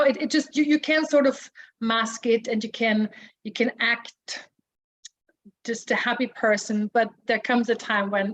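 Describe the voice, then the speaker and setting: A woman, sharing her personal story hesitantly, with many restarts. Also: fairly high pitch. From Austria. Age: 40s. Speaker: support group member. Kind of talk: personal testimony. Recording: muffled lo-fi audio.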